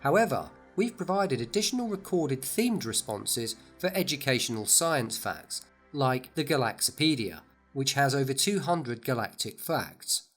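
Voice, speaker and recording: A man narrating a scripted promotional overview at a mid pitch. He explains each feature in an upbeat, enthusiastic tone. Light music plays underneath him. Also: sing-song, a little slow, few pauses, fairly bright tone. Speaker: product narrator.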